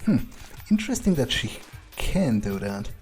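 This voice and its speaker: A man's voice: mid-range voice, big pitch swings, measured pace. A man voicing a guarded, dry-humored male vampire in improvised game roleplay, hesitant and musing aloud. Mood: puzzled musing. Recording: game music behind the voice.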